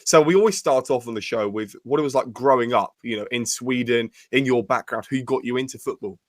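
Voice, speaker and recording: A man hosting an interview podcast, asking long rambling questions in a brisk way. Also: mid-range voice, no echo, clean studio sound. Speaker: podcast host.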